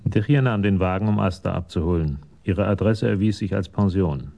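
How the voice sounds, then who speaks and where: An actor as the narrator of a crime radio play, reading in a measured, detached manner. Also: male voice, sits low, gravelly, dark tone, soft background music.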